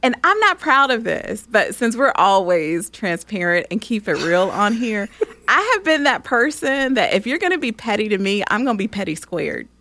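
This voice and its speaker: A woman co-hosting a casual faith-based chat, animated and candid, laughing easily. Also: mid-range voice, moderate pace. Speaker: podcast co-host.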